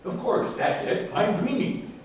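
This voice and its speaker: A drowsy, gentle bear, put on by a man keeps insisting in a soft, patient voice. The pitch rises and falls with feeling. It sounds echoey and a little distant, with a faint hiss. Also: sing-song, measured pace. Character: sleepy, mild, stubborn male bear.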